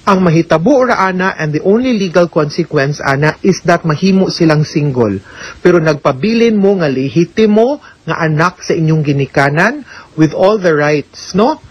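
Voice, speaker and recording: A middle-aged woman lawyer speaks in a husky, slightly breathy voice, close to the mic in a dry, deadened room. She explains each point patiently and methodically. She keeps checking in with the listener as she answers a letter on air. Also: deep voice, no room sound, lo-fi sound. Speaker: lawyer giving legal advice on radio. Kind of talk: radio legal advice, answering a listener's letter.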